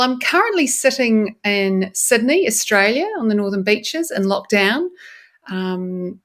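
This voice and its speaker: A woman in her forties with a New Zealand accent, explaining and telling stories as an enthusiastic, expressive podcast guest. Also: mid-range voice. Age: 40s. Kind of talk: conversational interview, explaining and storytelling.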